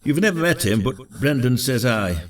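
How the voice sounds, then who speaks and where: A dry old retired detective, lively in intonation, heard a little off-mic in a village radio drama acted by a man. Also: medium pitch, normal speed, recorded from a distance, a little hiss or hum, close to an everyday voice. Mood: reflective. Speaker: actor. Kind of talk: scripted audio drama dialogue.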